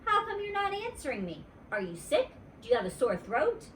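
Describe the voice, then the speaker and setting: Woman playing excitable little girl, storybook, squealy, nosy, boastful, high, swooping intonation. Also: moderate pace, a little hiss or hum, heavily acted. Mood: boastful, prodding. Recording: roomy echo, distant mic.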